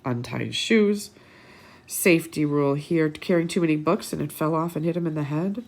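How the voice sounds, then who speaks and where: A woman reading a picture book aloud to children expressively, pausing for asides and questions to her young listeners. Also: deep voice. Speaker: children's storytime host.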